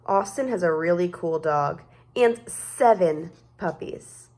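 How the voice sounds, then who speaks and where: A woman reading a children's story aloud in an expressive way, stretching words for dramatic emphasis. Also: fairly low pitch, slow pace. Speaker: storybook reader.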